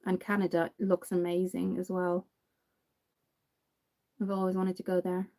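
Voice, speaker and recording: A young Dublin woman with an Irish accent chats through a makeup livestream, reading and answering viewers' comments as she works. Her voice sits low and mellow, unhurried and friendly. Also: monotone. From Ireland. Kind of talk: casual livestream makeup tutorial.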